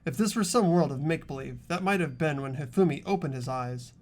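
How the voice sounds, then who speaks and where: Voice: medium pitch, fairly quick, darkish tone. A narrator reading scripted, dramatic visual novel text as the protagonist of a game playthrough.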